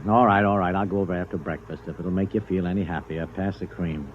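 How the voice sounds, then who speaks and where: An actor playing an anxious, hesitant, stammering husband in a 1950s radio drama style. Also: male voice, low voice, fairly even intonation, fast talker, lightly acted. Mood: grumbling, worried. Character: anxious, flustered husband and home tinkerer. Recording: dull, noisy old radio recording.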